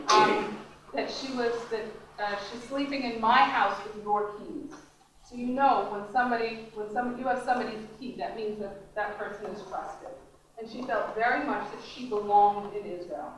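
A middle-aged woman gives an academic lecture in a conversational way, pausing on ums and restarting her sentences. Her voice sits a little high. It is picked up from a distance in a strongly echoing lecture room with faint background noise. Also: fairly bright tone. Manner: conversational, many ums and restarts, gestures while talking. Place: lecture hall at a podium.

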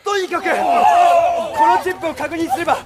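An actor playing an earnest, determined young defense lawyer in a theatrical courtroom play. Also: male voice, very high voice, fairly quick, long reverb tail, a crowd in the background. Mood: earnest, urgent. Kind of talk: theatrical courtroom stage acting. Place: theater stage.

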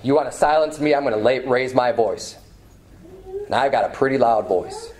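A man in his thirties preaching a sermon from a pulpit, emphatic, repeating phrases and climbing in pitch when stirred. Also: moderate pace, a little mellow. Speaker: pastor. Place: small church hall with banner, indoors. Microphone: far camera, at a pulpit.

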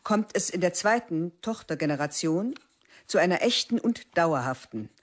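A woman's voice: lowish voice, expressive, normal speed, no room sound. A woman playing a stern older biology teacher. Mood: lecturing. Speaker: narrator and lead. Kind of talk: radio play narration and acting. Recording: radio play with music underneath.

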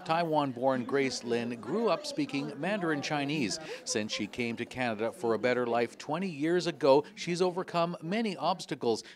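A man narrating a scripted local news report in a measured, upbeat way. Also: mid-range voice.

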